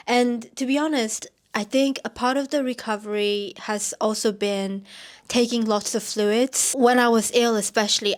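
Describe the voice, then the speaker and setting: A woman from Myanmar hosting a casual podcast, sounding nasal as she gets over a cold, rambling with many "like" fillers. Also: mid-range voice. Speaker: podcast host. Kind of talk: casual chatty podcast talk.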